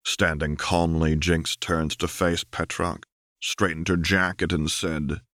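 A man's voice: sits low, flat delivery, slow, a bit bright, no room sound, clean studio sound. A man reading a fiction audiobook as narrator, in dramatic scripted narration.

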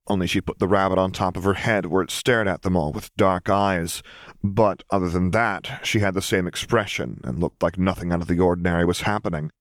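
A man with a low voice narrates fiction from a script. The recording is dry and close, with hardly any room sound. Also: no echo. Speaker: audiobook narrator.

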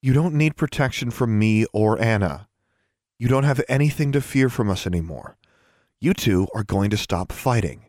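A man's voice: medium pitch, lively intonation, moderate pace, darkish tone, no echo, studio quality, lightly acted. Man as a bold, demanding teenage girl. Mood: firm reassuring. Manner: blunt, demanding, firm. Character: bold, confrontational teenage girl, firm leader.

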